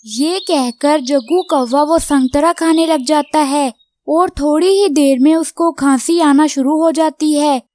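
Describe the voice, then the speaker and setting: A woman's voice: sits high, a little slow, a little mellow, dry recording. A woman reading scripted narration for a children's cartoon story.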